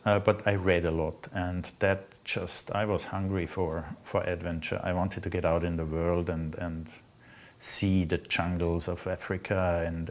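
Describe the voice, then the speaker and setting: A middle-aged man from Austria with an Austrian accent, a native German speaker, telling his life story off the cuff in a halting, reflective way. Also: low voice. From Austria, Salzburg province. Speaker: interviewee recounting own life. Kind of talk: unscripted personal storytelling.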